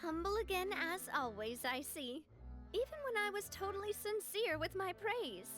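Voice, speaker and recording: A woman voicing a confident, warm young woman leader in a game, speaking in a poised, gracious manner. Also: very high voice, animated, normal speed, over music. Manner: poised, warmly persuasive.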